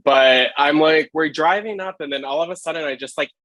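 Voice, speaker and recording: A man's voice: fairly high pitch, brisk, fairly bright tone, dry recording. A man from Hawaii, a street photographer, chatting as a podcast guest, rambling with lots of fillers. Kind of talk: casual podcast chat.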